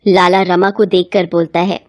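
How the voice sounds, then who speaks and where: A woman's voice: fairly low pitch, level tone, normal speed, darkish tone, dry recording, clean studio sound. A woman narrating a scripted moral bedtime story as its storyteller.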